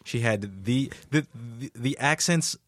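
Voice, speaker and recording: A man's voice: medium pitch, animated, unhurried, no echo, clean studio sound. A young man chatting casually as a podcast guest, rambling with many restarts and fillers.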